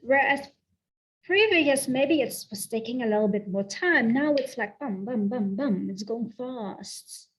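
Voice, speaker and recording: A woman from the south of the Netherlands with a Dutch accent, speaking slowly and softly as a spiritual coach in a channeled guided talk, repeating her affirmations. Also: a little high, lively intonation. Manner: slow, soft, affirming, repeats phrases.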